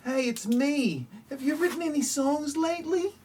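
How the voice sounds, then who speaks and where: A woman's voice: sits high, moderate pace, darkish tone, far from the mic, slight background noise, natural voice. A woman acting young characters in an amateur spoof sci-fi audio play. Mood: casual, friendly.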